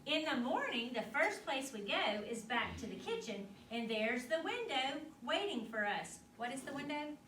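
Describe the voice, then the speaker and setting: An expressive woman reads a picture book to young children in a teacherly way, prompting them with questions. Her delivery swings widely in pitch. The recording is echoey and distant, with clear noise behind her. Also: moderate pace, few pauses. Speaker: storyteller reading to children. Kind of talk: animated picture-book read-aloud with questions to young listeners. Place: echoey indoor room at a school literacy event. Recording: roomy, distant mic with clear background noise.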